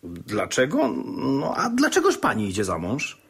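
Witty bachelor gent in old comedy radio play, bit husky, animated, dry and ironic, teasing. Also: male voice, mid-range voice, measured pace, full character voice. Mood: probing, ironic. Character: wry, teasing gentleman bachelor landowner with ironic wit.

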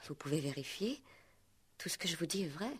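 An actress playing a composed, guarded young woman under police questioning in a 1960s French radio play, giving polite, measured, softly insistent answers. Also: low voice, expressive, slightly rough, exaggerated voice.

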